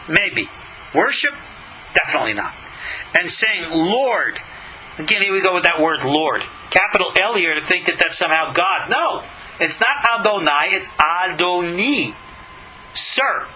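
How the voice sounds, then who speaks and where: Bright, high-voiced man teaching scripture emphatically. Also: slight background noise, low-quality recording. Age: middle-aged or older. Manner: emphatic, skeptical, argumentative, with rhetorical questions. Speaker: rabbi, religious teacher and commentator. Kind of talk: religious lesson, reading a text aloud with running commentary.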